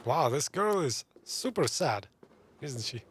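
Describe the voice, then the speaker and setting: Male gamer commentator: casual, bemused asides, slow pace, lively swings in pitch. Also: slight background noise. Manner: flippant, bemused. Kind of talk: casual reaction commentary over a game.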